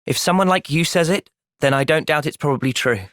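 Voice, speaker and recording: A man playing a gentle, thoughtful young soldier hero in a video game, earnest and reflective in manner. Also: a little high, brisk, no echo, clean studio sound, natural voice. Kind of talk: video game cutscene voice acting.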